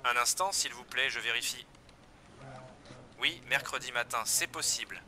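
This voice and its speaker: A man reading everyday French dialogues for learners, with clear, measured scripted delivery. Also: mid-range voice, pushed and bright.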